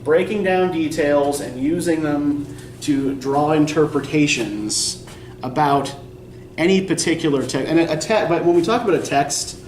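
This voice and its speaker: A man's voice: fairly high pitch, moderate pace, far from the mic, slight background noise. A young man from Eastern Pennsylvania teaching a college writing class, talking casually, rambling and self-deprecating, often checking in with "right?". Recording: echoey classroom recorded from afar.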